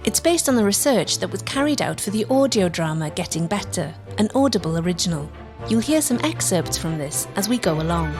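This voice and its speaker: A woman's voice: medium pitch, expressive, fairly quick, music in the background. A woman with a Welsh accent reading scripted narration as the presenter of a documentary.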